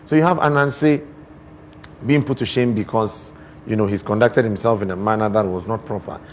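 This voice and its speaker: A Ghanaian man explaining things as a guest in a TV interview, expressive and deliberate, often posing rhetorical questions. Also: on the low side, slight background noise. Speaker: guest poet and storyteller.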